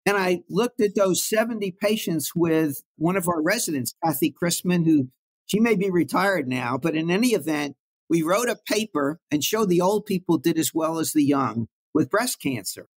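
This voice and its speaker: An older man from Brooklyn recalls his working life as an interview guest. His voice sits a little high, and he speaks in an anecdotal, reflective way. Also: lively intonation, normal speed, slightly rough. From Brooklyn, New York. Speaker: guest oncologist. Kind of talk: storytelling in an interview.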